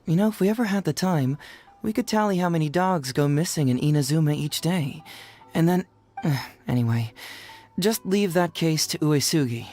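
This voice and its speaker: Low-voiced young male detective. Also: animated, dark tone. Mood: wry, businesslike. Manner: casual, wry, unhurried. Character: young male detective, sly, breezy and self-assured. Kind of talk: video game character acting. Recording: background music under the voice.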